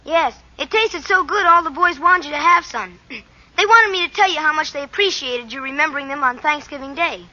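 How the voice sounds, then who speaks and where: A woman's voice: high voice, brisk, bright, forceful tone. A woman voicing an earnest, polite little orphan boy in 1940s radio comedy style, on a muffled old broadcast.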